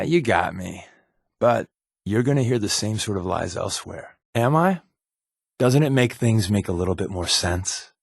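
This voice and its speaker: A man's voice: on the low side, vocal fry, slightly rough, dry recording, studio quality, heavily acted. A man playing an easygoing, wisecracking secret agent with hidden guilt in a video game, talking in a wry, casual, conversational way. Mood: sincere, casual. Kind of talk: video game companion dialogue.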